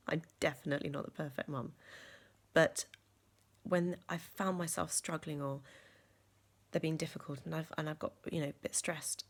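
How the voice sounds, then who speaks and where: A woman, croaky from a sore chest, talking earnestly in a reflective vlog with many ums and restarts. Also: low voice, fairly even intonation, normal speed. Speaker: vlogger.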